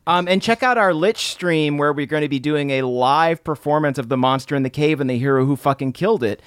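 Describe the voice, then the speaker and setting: A man's voice: a little high, fairly quick, smooth, dry recording, natural voice. Earnest teen boy, played by a man, close-sounding. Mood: earnest, solemn. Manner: earnest, mock-solemn. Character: Beverly, earnest, wholesome teenage boy adventurer. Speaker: podcast co-host. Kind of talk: improvised comedy banter.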